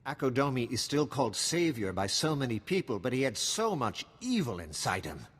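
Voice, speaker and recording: Husky, solemn freedom fighter. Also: male voice, medium pitch, very animated, fairly bright tone, in a large hall. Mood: solemn resolve. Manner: earnest, measured, solemn. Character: solemn, grateful male freedom fighter with high ideals. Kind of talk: video game voice acting.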